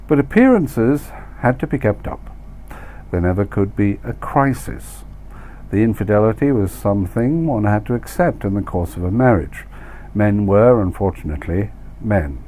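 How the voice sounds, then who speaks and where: A man reading his own short story as scripted literary narration, measured and wry, with dramatic emphasis. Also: on the low side, a little mellow, slight background noise.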